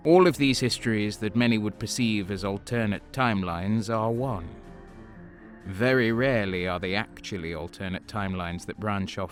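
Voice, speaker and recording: A man's voice: on the low side, lightly acted. A man playing a solemn, all-knowing transcendent being, narrating an audio drama in a grave, portentous, scornful way.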